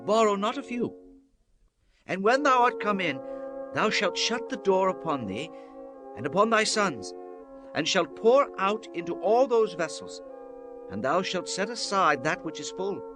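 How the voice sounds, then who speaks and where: An actor playing a commanding biblical prophet in a dramatized scripture audiobook, solemn and declamatory. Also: male voice, sits high, sing-song, measured pace, music in the background. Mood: instructing.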